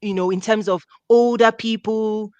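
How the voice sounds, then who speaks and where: A woman's voice: medium pitch, fairly even intonation, normal speed, a little mellow, clear, resonant tone, dry recording, highs cut off. A middle-aged woman from Nigeria hosting a video call interview on a headset, bubbly and laughing often. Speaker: host. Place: home office with diplomas.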